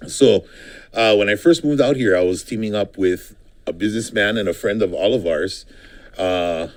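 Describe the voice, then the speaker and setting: A man's voice: on the low side, normal speed. A middle-aged man from Hawaii, a political candidate, talking casually in a studio interview and rambling with frequent ums and restarts.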